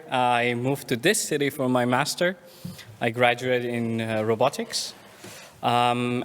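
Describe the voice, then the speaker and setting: A man's voice: mid-range voice, fairly bright tone, smooth. A man from Iran, introducing himself directly as a robotics engineer. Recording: long concert hall reverb.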